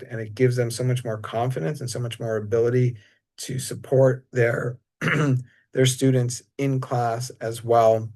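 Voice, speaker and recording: A mellow, warm-voiced man talks through his massage training in a relaxed, casual way. His pitch sits in the middle, and his delivery is unhurried and easygoing, with frequent small fillers. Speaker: massage teacher. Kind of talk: casual talk.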